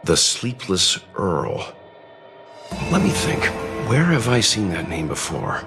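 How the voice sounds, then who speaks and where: A reserved, thoughtful traveler in a video game. His voice is low and deep. Loud music runs underneath everything he says. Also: sing-song, slow, full character voice. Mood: pondering. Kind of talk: scripted video game voice acting.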